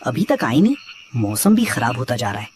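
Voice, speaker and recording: A man's voice: medium pitch, very animated, brisk, darkish tone, dry recording, close to an everyday voice. A man playing a chatty village man in a cartoon story. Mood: wary. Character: chatty village man at tea stall, wary. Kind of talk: dubbed cartoon story dialogue.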